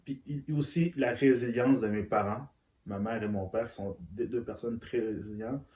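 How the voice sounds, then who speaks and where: A man's voice: fairly low pitch, normal speed, a little mellow. A man, a guest talking candidly and earnestly, often stumbling and repeating words. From Central Africa. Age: 30s. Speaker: interview guest. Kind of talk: candid conversation. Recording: roomy echo.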